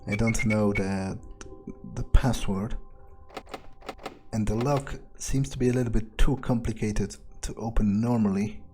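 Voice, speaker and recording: Slow, mellow man thinking aloud. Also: fairly low pitch, fairly even intonation, a little hiss or hum. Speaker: gamer playing in character. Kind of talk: in-character musing game playthrough.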